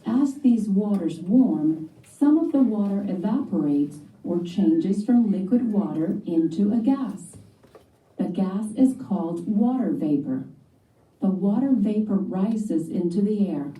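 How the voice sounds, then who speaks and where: A woman narrating a scripted educational read-aloud for children in a measured, explanatory way. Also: mid-range voice, animated, dark tone, echoey room, recorded from a distance, rough recording.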